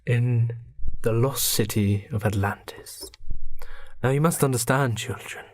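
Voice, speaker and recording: A man's voice: mid-range voice, a little slow, slight background noise. A man narrating a comic radio play as podcast host, in a mock-dramatic storytelling style.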